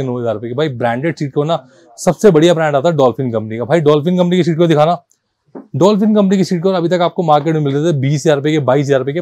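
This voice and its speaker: A man from presents goods in an informal sales pitch. His voice sits slightly high and is recorded dry, with little room sound. He is insistent and repetitive, addressing viewers like brothers and switching into English for numbers and terms. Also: dark tone, no echo. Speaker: car accessories shop seller presenting stock.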